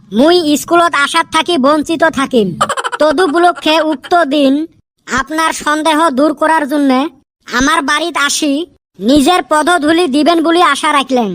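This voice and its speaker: A cheeky cartoon village schoolboy with an extremely high, piercing voice. The tone is bright and sharp, wheedling with mock innocence in a Rajbongshi accent. The sound is close and dry. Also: female voice, no echo. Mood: mock formal. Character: cheeky, scheming village schoolboy pupils, high-pitched and childlike. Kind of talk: Bengali comedy cartoon dubbing.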